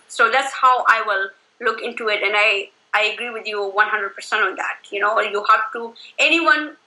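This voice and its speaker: A woman's voice: fairly high pitch, sharp tone, low-quality recording. A woman from Afghanistan speaking as an interview guest, emphatic and advising, often adding "you know".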